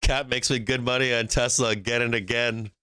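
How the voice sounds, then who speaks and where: A man's voice: mid-range voice, level tone, brisk, pushed and bright, clean tone, no room sound. A man from Boston hosting a live stock trading stream, brash and joking, laughing often between calls. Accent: faint Boston. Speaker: stock market livestream host.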